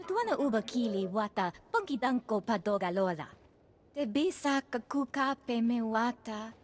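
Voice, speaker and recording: An actress voicing an alien who speaks a rhythmic invented tongue in a video game. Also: female voice, a little high, very animated, normal speed, a little hiss or hum. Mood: businesslike.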